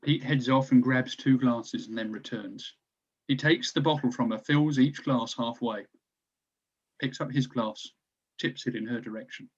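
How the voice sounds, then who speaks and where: Husky male stage-direction narrator. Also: mid-range voice, unhurried, muffled recording. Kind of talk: scripted narration for a table read.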